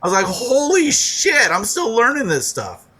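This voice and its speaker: A man's voice: very high voice, huge pitch swings, moderate pace, pushed and bright, husky, low-quality recording. A man in his forties co-hosting a comedy podcast, rambling with lots of fillers and swearing. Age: 40s. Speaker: podcast co-host. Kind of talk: casual comedic chat.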